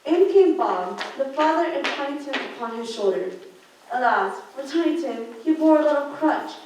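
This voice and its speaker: A woman's voice: high voice, expressive, normal speed. A young woman in her teens reading scripted storybook narration for a student radio play. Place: large school theater classroom, indoors. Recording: echoey room, distant mic, background noise.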